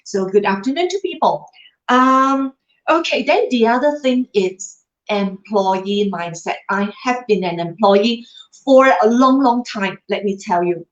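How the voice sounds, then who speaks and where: A woman's voice: mid-range voice, animated, measured pace. A middle-aged woman whose first language is Cantonese gives a motivational livestream talk. She speaks straight to her viewers and drops tag questions into her sentences. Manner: addresses viewers directly, tag questions like "huh?" and "lah". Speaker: livestream host. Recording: roomy, slightly dull livestream audio.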